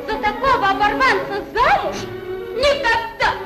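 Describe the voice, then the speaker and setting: A woman's voice: extremely high pitch, a little slow, roomy sound, over a noisy crowd. A woman voicing a proud, haughty young female hare who speaks shrilly in an old cartoon. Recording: old 1940s film soundtrack with music.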